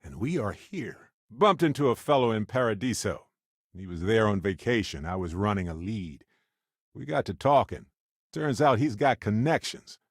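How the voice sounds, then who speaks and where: A low, very lively voice in a close, dry recording, a middle-aged space explorer from a video game. He is genial and curious, talking conversationally with a wry edge. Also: moderate pace, no echo. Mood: casual, chatty. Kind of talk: video game character dialogue.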